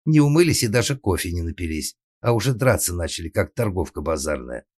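A man's voice: low voice, big pitch swings, fairly quick, darkish tone, no echo. A man voicing an indignant young maidservant who talks back in a defiant, colloquial, grumbling way in a comic story.

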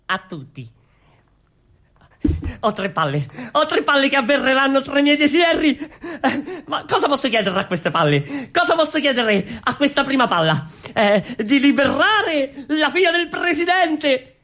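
A woman's voice: high-pitched, fairly bright tone, full character voice. A woman playing a naive, lovestruck male rebel in exaggerated, clownish comic theatre. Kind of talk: theatrical comic stage acting.

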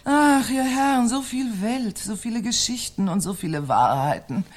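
An actress playing an amused, curious medieval noblewoman in a radio play, with a theatrical, drawn-out delivery. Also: on the high side, expressive, a little mellow. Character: amused, curious noblewoman among weary old crusader knights. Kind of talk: German radio play acting.

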